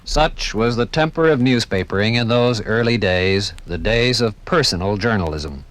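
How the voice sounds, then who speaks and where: An American man narrating a scripted 1950s radio documentary in a measured, formal storytelling manner. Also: fairly low pitch, expressive, fairly bright tone. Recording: 1950s radio broadcast from vinyl record.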